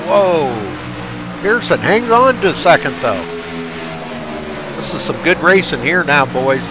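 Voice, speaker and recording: Stuffed-up, excitable race commentator man. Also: fairly high pitch, takes it slowly, background noise. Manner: excitable, folksy play-by-play. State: stuffed up from allergies. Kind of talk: live race play-by-play commentary.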